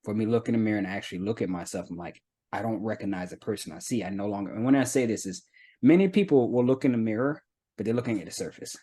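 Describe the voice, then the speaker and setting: An American man in his thirties, a guest telling his own story in an earnest, reflective way, often pausing with fillers. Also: fairly low pitch, dark tone, slightly rough. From United States. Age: 30s. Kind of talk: candid personal testimony.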